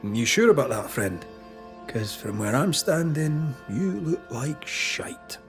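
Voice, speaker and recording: A man's voice: on the high side, very animated, takes it slowly, soft background music, heavily acted. A man voicing a blunt, seasoned ghost hunter with a Scottish accent and a dry, probing manner in a video game. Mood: wry, blunt. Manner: dry, probing, plain-spoken.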